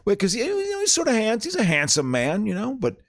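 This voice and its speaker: A man's voice: high-pitched, huge pitch swings, quick pace. A man hosting a casual comedy podcast, rambling and stammering through stories, laughing often. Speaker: podcast host.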